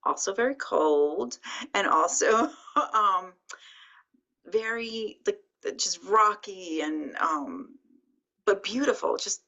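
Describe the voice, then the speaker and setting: A woman's voice: mid-range voice, lively intonation, takes it slowly, bright, forceful tone. A middle-aged woman hosting an author interview over a video call, hesitant with many ums and laughs. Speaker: library assistant director and host. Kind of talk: casual interview. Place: library room with bookshelves. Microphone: video call webcam. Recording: dull lo-fi video call audio.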